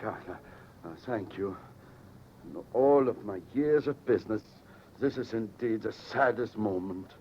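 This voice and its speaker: An actor playing a polite, money-minded foreign businessman with a continental European accent, speaking formally and haltingly in vintage radio drama. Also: on the low side, lightly acted. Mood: solemn. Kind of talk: 1940s-50s radio drama acting. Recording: dull, noisy vintage radio recording.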